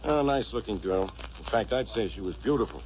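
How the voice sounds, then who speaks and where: An actor playing a gruff, sarcastic, suspicious police inspector in 1940s radio drama style. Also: male voice, on the low side, quick pace, natural voice. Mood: blustering, musing. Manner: blustering, sarcastic. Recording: muffled old radio recording, steady hiss.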